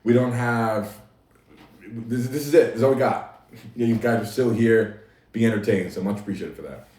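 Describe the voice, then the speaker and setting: A man's voice: fairly low pitch, normal speed, darkish tone. A man hosting a casual livestream, talking easily to his chat and laughing often. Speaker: livestream host. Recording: echoey room, mic at a distance.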